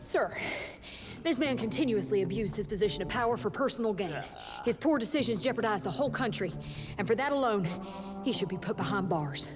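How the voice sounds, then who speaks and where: A woman playing a tough, assertive ally in a game, with brisk and firm delivery. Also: medium pitch, lively intonation, close to an everyday voice. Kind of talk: cinematic game dialogue. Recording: loud music behind the voice.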